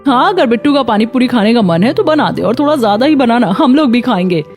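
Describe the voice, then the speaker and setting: A woman's voice: on the high side, expressive, fast talker, a little mellow, faint music behind, natural voice. A woman voicing a bossy, callous mistress of the house in a cartoon story, curt and commanding. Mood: dismissive.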